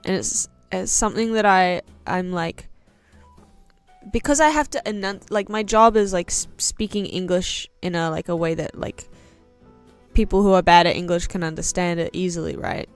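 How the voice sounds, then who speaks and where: A woman's voice: lowish voice, moderate pace, clear, resonant tone, soft background music. A young woman with a New Zealand accent chatting casually while drawing on stream, rambling with lots of fillers. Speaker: art streamer and English teacher.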